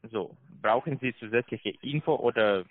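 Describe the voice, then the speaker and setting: A man with a foreign accent, not a native German speaker, giving a halting, repetitive telephone sales pitch as a call center salesman. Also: no room sound. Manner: halting, repetitive, broken grammar. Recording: tinny narrow phone line.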